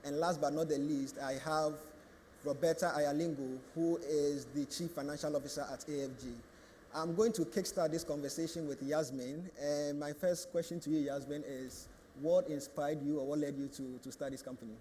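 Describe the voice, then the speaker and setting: A man moderating a panel, formally introducing speakers and asking measured questions. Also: a little high, fairly even intonation, mellow, slight background noise.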